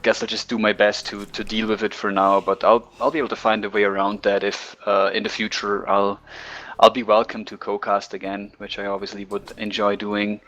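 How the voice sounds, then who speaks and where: Low-voiced man co-casting. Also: little pitch movement, brisk, hardly any pauses, a bit bright, highs cut off. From Liechtenstein. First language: German. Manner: thoughtful, hesitant, restarts phrases often. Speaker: co-caster. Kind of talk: esports commentary over voice chat.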